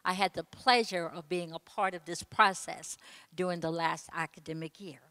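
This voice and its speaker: A woman co-moderating a panel, reading a prepared introduction in a formal, measured way into a handheld mic in an art gallery. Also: lowish voice, fairly even intonation, sharp tone. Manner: formal, measured, list-like delivery. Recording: reverberant gallery room.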